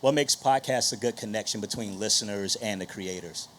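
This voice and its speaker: A man's voice: on the low side, brisk, fairly bright tone, a little hiss or hum. Male moderator, playful, joking, casual panel banter, repeats himself for emphasis.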